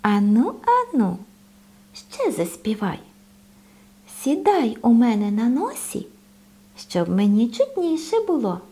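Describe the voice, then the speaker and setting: A woman's voice: a little high, wildly swooping pitch, slow pace, long pauses, warm tone, exaggerated voice. Woman as a swooping, sly storybook fox lady. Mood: sly, coaxing. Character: sly, coaxing female fox, sweetly hungry.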